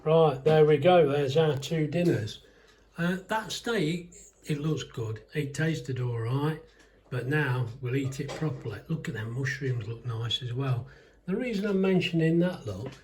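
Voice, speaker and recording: A man's voice: medium pitch, darkish tone, slight background noise. An older man, chatting casually and rambling a little as he cooks in his home kitchen. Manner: chatty, rambling, self-deprecating. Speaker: home cooking vlogger. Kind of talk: casual cooking commentary.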